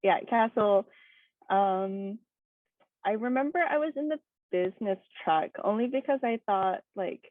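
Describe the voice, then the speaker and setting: A woman's voice: medium pitch, expressive, measured pace, no echo. Chatty young woman on tinny video call. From Hawaii. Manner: chatty, many fillers and laughs. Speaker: guest speaker. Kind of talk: casual personal talk.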